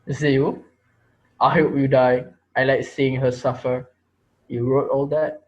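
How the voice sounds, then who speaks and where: A menacing teacher who sees himself as a reaper, acted by a man in an amateur drama reading. He interrogates and lectures in a taunting way at a medium pitch. The recording is dull and lo-fi. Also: little pitch movement, unhurried, close to an everyday voice. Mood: cold, interrogating. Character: menacing male teacher, self-appointed reaper judging his students. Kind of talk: amateur reader's theater acting.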